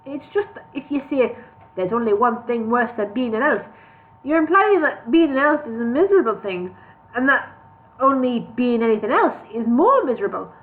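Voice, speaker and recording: A woman's voice: a little high, expressive, moderate pace, narrow, tinny audio, pitched above the performer's own voice, natural voice. A woman voicing an awkward young male hobbit with a northern English accent, speaking hesitantly. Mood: earnest.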